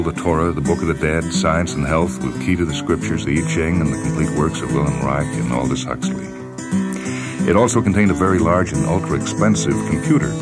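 A man reading a short story as scripted radio narration, deliberate and wry, with theatrical phrasing and dramatic pauses. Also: very deep voice. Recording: music bed under narration. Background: background music with guitar.

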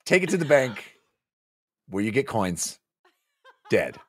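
A man's voice: mid-range voice, slow, slightly rough. A man in his forties chatting casually and jokily as a podcast host, acting out bits of a story, close on a studio microphone. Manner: animated, jokey, acting out characters' lines. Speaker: book club podcast host. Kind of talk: casual recap chat about a novel. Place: small indoor home studio. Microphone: close studio microphone on a boom arm.

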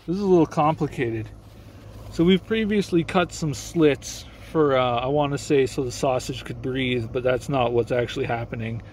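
A man's voice: mid-range voice, moderate pace, a little mellow, slight background noise. A young man filming himself cooking on a camp stove inside a parked car, rambling and joking at himself as he goes, with the phone close by. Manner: rambling, self-mocking, offhand. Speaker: vlogger cooking on camera. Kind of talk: casual joking how-to vlog. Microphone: phone held or propped nearby. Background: sizzling camp stove.

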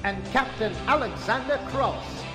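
A man's voice: very high-pitched, lively intonation, a little slow, loud music behind the voice, pitched above the performer's own voice. A man voicing a dramatic announcer for an adventure serial's theme, in a bombastic, theatrical manner. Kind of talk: theme song announcing over music.

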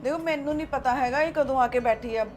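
Actress as a defensive young wife, bright high voice. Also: female voice, fast talker, soft background music, natural voice. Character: flustered young wife and mother.

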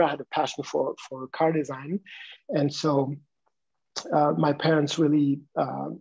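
A man in his forties from Morocco and Luxembourg, answering interview questions in a halting, reflective way over a video call. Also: on the high side, gravelly, a little mellow, slightly rough. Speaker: interview guest, design innovator. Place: room at home. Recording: dull video-call audio.

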